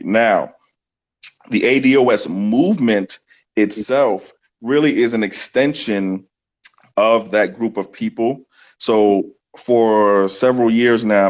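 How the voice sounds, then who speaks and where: Male guest, lower voice, earnest, thinks out loud. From New York City. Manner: earnest, thinks aloud with ums and restarts. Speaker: guest, community organizer. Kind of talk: casual explanatory interview talk.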